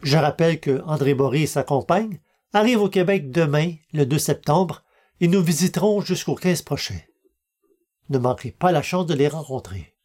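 A man's voice: on the high side, normal speed, a little mellow. A man reading scripted announcements and introductions as a podcast announcer. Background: music bed.

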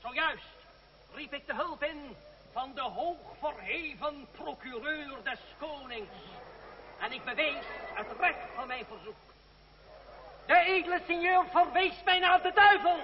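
An actor playing a fiery young French revolutionary, giving declamatory, impassioned speeches to townsfolk in a radio drama. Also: male voice, extremely high pitch, a little slow. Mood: bitter. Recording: dull lo-fi radio recording, noisy crowd. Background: crowd noise.

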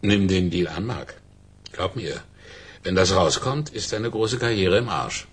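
Low male voice, grim pragmatic agent, radio crime drama, loud noise behind. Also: measured pace. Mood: grim urging.

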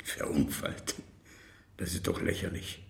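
An actor in a radio drama playing a quietly defiant male suspect, grave and halting, with a breathy undertone. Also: close to an everyday voice. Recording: echoey room.

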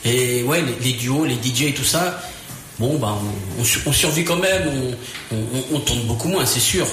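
A man's voice: mid-range voice, moderate pace, fairly bright tone, soft background music. A man, a musician and guest on a radio show, talking earnestly with repeated restarts. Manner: earnest, repetitive, stammering restarts. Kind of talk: casual radio chat. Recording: very echoey room with faint noise.

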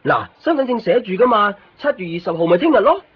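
Lively young Hong Kong shopkeeper. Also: male voice, high voice, fast talker, a little hiss or hum. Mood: friendly. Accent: Hong Kong Cantonese. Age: young adult. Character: easygoing young male copy shop keeper, wistful narrator. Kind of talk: radio drama narration and dialogue. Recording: muffled radio recording with music.

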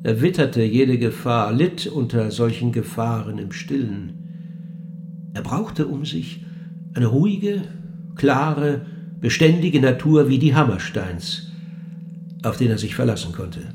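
An actor playing a cool, probing interviewer of the dead in a German radio play, speaking in a measured, dry and pointed way. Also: male voice, medium pitch, expressive, a little mellow, faint music behind. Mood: measured reciting.

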